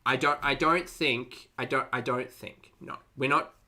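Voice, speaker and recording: Bright-voiced, sardonic man, slightly stuffed-up. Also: medium pitch, steady tone, measured pace. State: congested, short of breath. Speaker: host of a reaction stream. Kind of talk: casual live commentary, chatting with viewers.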